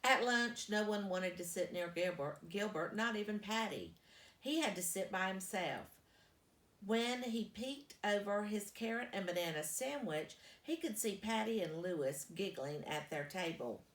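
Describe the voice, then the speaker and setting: A woman reading a children's story aloud as a storyteller, with deliberate, emphatic phrasing. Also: medium pitch, animated. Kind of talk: expressive children's storybook narration. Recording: echoey, roomy sound.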